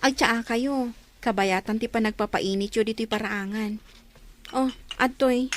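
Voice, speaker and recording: A woman's voice: on the high side, unhurried, faint music behind, lightly acted. A woman playing a dutiful daughter in a radio drama, pleading emotively about her wayward brother. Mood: attentive, caring.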